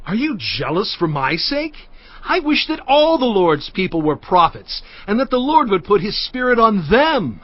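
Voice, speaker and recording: A man's voice: high-pitched, big pitch swings, normal speed, dry recording, lo-fi sound. A man playing an impassioned prophet and leader in a dramatised scripture production, fervent and exclaiming. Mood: earnest. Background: music.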